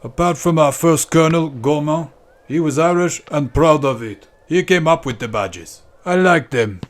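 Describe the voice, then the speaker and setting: A matter-of-fact Swiss soldier played by a male narrator, pitched above the reader's own low voice. He explains plainly and loyally in an audio war story. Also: normal speed, lightly acted.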